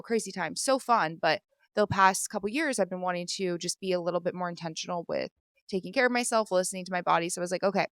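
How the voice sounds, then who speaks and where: Woman host, casual rambling podcast chat, smooth clear tone, close dry mic. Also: mid-range voice, fairly bright tone, no echo. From Vancouver, Washington, USA. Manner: chatty, rambling, full of "like". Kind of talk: casual solo podcast recap. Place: hotel room.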